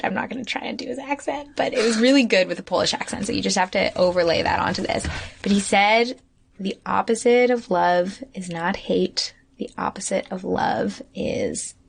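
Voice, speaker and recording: A woman's voice: medium pitch, gravelly. A woman co-hosting a podcast, talking through ideas in long, winding, reflective thoughts. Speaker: podcast co-host. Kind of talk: casual chat.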